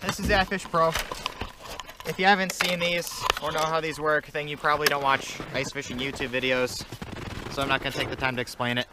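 A man's voice: on the high side, flat delivery, brisk, heavy background noise. A young man chatting casually and playfully as an outdoor vlogger, recorded on a nearby action camera. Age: young adult. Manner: joking, playful. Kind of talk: casual vlog chat while ice fishing. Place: open frozen lake, later inside ice fishing tent. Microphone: action camera at arm's length or set nearby.

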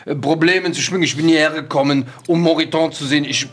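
Man as a doctor, rather high voice, husky, prissy, indignant, halting, role-play audio drama. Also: a bit bright. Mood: petulant. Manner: fussy, halting, petulant.

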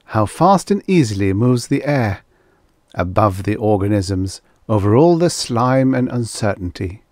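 A man's voice: fairly low pitch, expressive, unhurried, darkish tone, no room sound, studio quality. A man voicing a bossy, visionary primitive man in a storybook, commanding and declamatory.

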